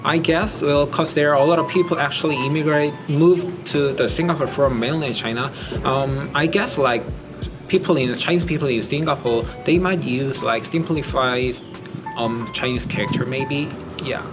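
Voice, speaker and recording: A man's voice: on the high side, normal speed, music in the background. A man from Korea answering a street interview, hesitant and full of fillers like "well".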